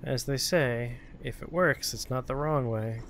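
Bright-voiced man commentating on a game. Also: mid-range voice, unhurried, slight background noise. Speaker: host of a gaming show. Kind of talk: casual let's-play commentary.

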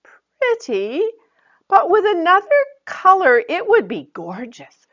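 A woman's voice: extremely high pitch, huge pitch swings, slow pace, fairly bright tone, lo-fi sound, pitched above the performer's own voice, full character voice. A woman voicing a helpful young fairy best friend in a storybook, coaxing and exclaiming with enthusiasm. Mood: gushing.

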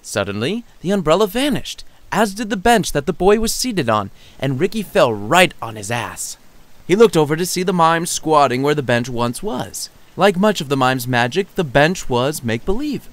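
A man's voice: fairly high pitch, big pitch swings, moderate pace, no echo. A man reading his own comedic short story in a wry, theatrical narrating style.

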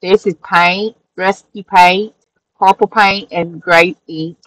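A middle-aged woman talks through a handmade journal at an unhurried, relaxed pace. Her voice sits a little low, and she rambles with fillers and small self-corrections. The sound is dry and close, with little room echo. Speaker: crafting channel host. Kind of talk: casual craft flip-through narration. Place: craft room at a table.

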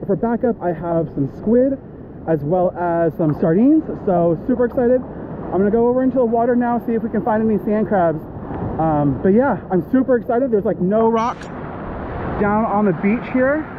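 An eager young man talks to his viewers from a sunny beach, his voice sitting fairly high for a man. He speaks from a camera strapped to his chest. Steady wind and surf noise run under him, quite clearly. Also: normal speed, phone-quality sound. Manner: upbeat, keeps saying he is super excited. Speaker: fishing vlogger. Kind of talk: casual vlog narration to camera. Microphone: chest-mounted action camera.

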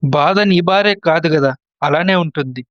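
A man's voice: a little high, moderate pace, dry recording, lightly acted. A man playing a hot-headed, resentful younger son in a family story, in slightly muffled sound. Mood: angry, fed up. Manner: aggrieved, confrontational.

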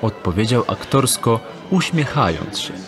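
A man's voice: mid-range voice, sing-song, a little slow, over music. A man narrating a fantasy audio drama from a script as storyteller.

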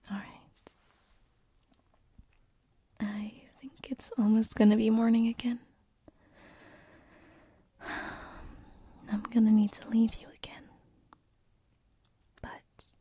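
A woman plays a tender succubus who haunts bedrooms and is shyly in love. She whispers slowly and very breathily, hesitating softly. The sound is dry and intimate, an ASMR roleplay on a binaural mic. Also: fairly high pitch, no echo, heavily acted. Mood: playful, affectionate. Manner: soft, hesitant, tender, with breathy giggles and sighs. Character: gentle lovesick female sleep paralysis demon, succubus, shyly affectionate. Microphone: close binaural microphone.

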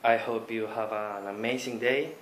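A man from Paraguay, speaking slow, careful into a webcam. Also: lowish voice, steady tone, a bit bright, echoey room. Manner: slow careful non-native English.

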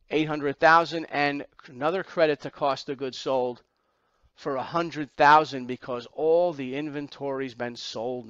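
A man's voice: medium pitch, fairly bright tone, clear, resonant tone, dry recording, lo-fi sound. A man teaching an accounting exam class, lecturing through slides step by step with emphatic repetition and rhetorical questions.

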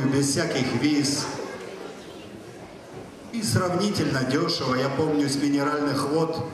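A man in his thirties doing a stand-up monologue on stage, chatting directly with the audience over a handheld mic. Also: medium pitch. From Soviet Union. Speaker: stand-up comedian. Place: large stage hall. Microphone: handheld mic, filmed from far. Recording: echoey hall from far off.

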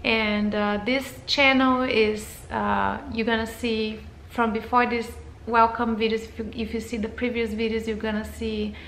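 Brief: thirties Brazilian woman, non-native English, a touch high, gravelly edge, roomy living-room sound, faint hiss. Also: level tone, measured pace, fairly bright tone. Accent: Brazilian Portuguese. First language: Portuguese. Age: 30s. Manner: hesitant, with frequent fillers and restarts. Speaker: vlogger. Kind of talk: casual channel introduction to camera.

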